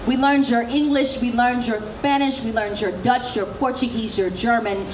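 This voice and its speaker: Impassioned female speaker, distant recording with clear background ambience. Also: a little high, steady tone, moderate pace, echoey room, a little hiss or hum. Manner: loud, forceful delivery. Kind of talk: impassioned speech.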